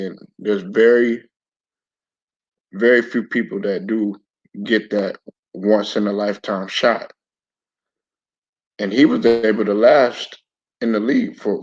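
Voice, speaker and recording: Raspy-voiced man with a throat bug. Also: on the low side, darkish tone. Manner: laid-back, stop-start, repeats words. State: hoarse from a sore throat, clearing throat. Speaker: sports commentary channel host. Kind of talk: casual live-stream talk to viewers. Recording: dull lo-fi home recording.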